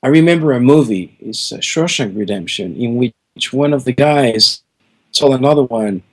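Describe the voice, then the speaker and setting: A man's voice: mid-range voice, moderate pace. A middle-aged man from Venezuela, a guest musician answering interview questions in an earnest, emphatic way, repeating words for stress.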